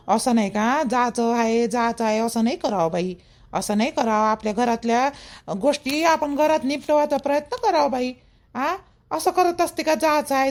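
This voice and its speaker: A woman's voice: a little high, darkish tone, natural voice. A woman voicing a middle-aged, protective, gossipy mother-in-law in a village cartoon, emphatic and repetitive, with a Vidarbha Marathi accent. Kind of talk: rural family cartoon dialogue.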